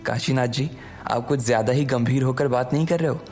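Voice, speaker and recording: A man voicing a calm, considerate young hero, reassuring, polite and quietly confident, in an audio drama over music. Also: medium pitch, a little fast, close to an everyday voice. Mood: polite, resolute. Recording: background music under the voice.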